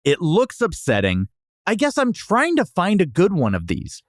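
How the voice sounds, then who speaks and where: A man's voice: sits high, wildly swooping pitch, normal speed, dry recording, clean studio sound. An American man from Texas hosting a podcast, chatting casually and giving wry, exaggerated comic complaints as he reviews food. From Texas, USA.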